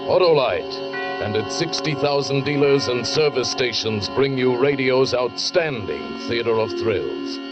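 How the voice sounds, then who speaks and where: Slow, portentous male announcer over loud music. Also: medium pitch, expressive. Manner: portentous, deliberate. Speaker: show announcer. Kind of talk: dramatic 1940s radio announcing. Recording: dull old radio recording under loud music.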